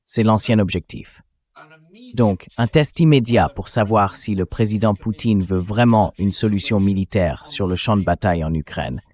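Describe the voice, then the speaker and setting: This is a man's synthetic dubbing voice with a fairly low pitch. He delivers political commentary in a measured, analytical way, as in a translated interview. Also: a little mellow.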